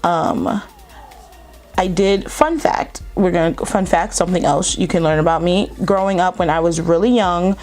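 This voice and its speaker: A woman's voice: lowish voice, steady tone, moderate pace, a little mellow, soft background music. A young woman with a slight lisp, chatting casually through a makeup tutorial with plenty of fillers. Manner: chatty, rambling, lots of fillers. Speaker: beauty vlogger.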